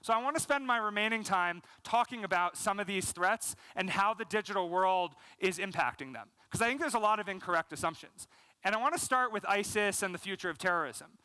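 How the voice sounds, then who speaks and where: A man's voice: sits high, brisk, smooth, no echo, rough recording. A man in his thirties with an American accent giving a conference keynote on a large stage, speaking fluently with frequent "um" and "you know" and gesturing as he talks. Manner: energetic, gesturing while speaking. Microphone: headset mic.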